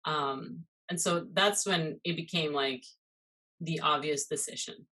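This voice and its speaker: Woman from costa rica, pretty low voice, rambly casual chat with lots of um and you know. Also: a little slow, pushed and bright, poor audio. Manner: chatty, many fillers and "you know". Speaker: guest, muralist and illustrator.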